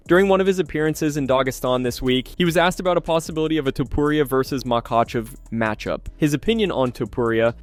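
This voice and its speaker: A man reading scripted combat sports news as a narrator. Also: medium pitch. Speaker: narrator of MMA news videos.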